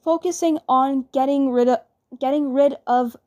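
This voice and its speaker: Woman playing brash high-pitched lovestruck cartoon girl, halting. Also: fairly even intonation, a little mellow, no echo, studio quality, close to an everyday voice. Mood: dismissive, confident. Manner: halting, repeating words, dismissive. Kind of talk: amateur fan dub acting.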